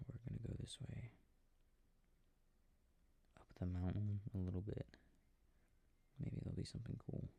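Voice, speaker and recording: A man playing an affectionate, easily startled boyfriend in an intimate sleep-aid roleplay, rambling softly to himself about his game and often drifting into whispers. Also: lowish voice, fairly even intonation, moderate pace, creaky, pauses often, breathy edge, dry recording, lo-fi sound, heavily acted. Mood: absorbed, muttering.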